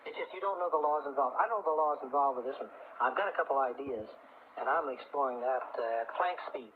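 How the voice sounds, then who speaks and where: A man explaining things as an expert guest on an old live radio call-in show, in a halting, deliberate manner. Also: mid-range voice, phone-quality sound. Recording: noisy, phone-thin old radio broadcast tape. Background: clear background noise.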